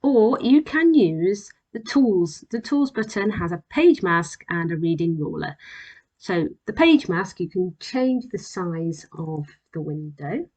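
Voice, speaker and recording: A woman presenting an instructional software demonstration as a voice-over, explaining plainly and step by step. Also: lowish voice. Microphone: voice-over on a screen recording.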